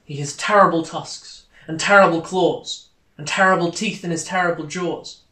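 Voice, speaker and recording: A man's voice: a little high, normal speed, bright, forceful tone, reverberant. A man voicing a small, clever, polite but boastful mouse in a storybook. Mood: politely confident.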